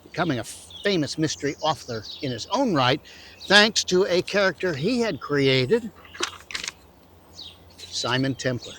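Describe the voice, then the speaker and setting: A man hosting an audio drama, reading scripted narration emphatically with deliberate dramatic pauses. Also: on the high side, very animated, background noise. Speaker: host introducing an audio drama.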